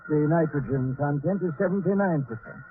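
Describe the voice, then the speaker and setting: A man's voice: on the high side, fairly quick, faint music behind, lightly acted. A man playing a reassuring professor who explains things precisely to a young space traveler in an old radio drama. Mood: instructive. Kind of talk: 1940s radio drama acting. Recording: tinny old radio recording with hiss.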